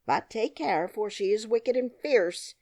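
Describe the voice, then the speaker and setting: A woman reading an audiobook, voicing a male gatekeeper who speaks in a measured, matter-of-fact way. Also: medium pitch, expressive, darkish tone, pitched above the performer's own voice. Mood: stern. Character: stern male gatekeeper officer.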